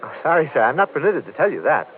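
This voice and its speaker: An actor playing a polite, flustered, rule-bound hotel desk clerk in an old radio drama, deferential and hesitant. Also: male voice, a little high, big pitch swings, quick pace. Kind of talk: 1940s-50s radio drama acting. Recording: muffled vintage radio recording.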